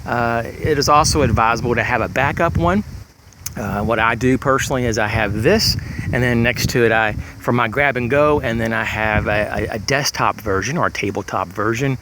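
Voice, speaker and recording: Man rambling through a gear demo, noisy background. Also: medium pitch. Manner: halting, rambling explanations. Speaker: prepper vlogger presenting gear. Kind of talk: informal unscripted product demonstration. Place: outdoors, in breezy weather. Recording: clear background noise, outdoor ambience.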